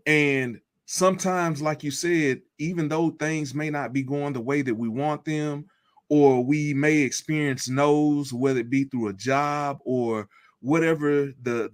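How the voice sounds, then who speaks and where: A man telling his own comeback story as a motivational speaker, earnest and measured, repeating key phrases. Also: mid-range voice. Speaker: guest speaker.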